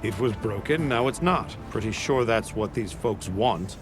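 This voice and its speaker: A man's voice: fairly low pitch, animated, normal speed, music in the background, natural voice. An actor playing a blunt, unbothered engineer absorbed in his machinery in a video game, talking in a dry, offhand way. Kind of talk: video game cutscene acting.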